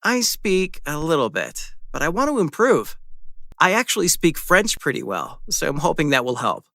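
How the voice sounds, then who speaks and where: A man's voice: a little high, very animated, normal speed, vocal fry, pushed and bright, dry recording, studio quality, exaggerated voice. A man playing a friendly young male architecture student in a scripted practice conversation, easygoing and asking friendly questions. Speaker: student in a dialogue.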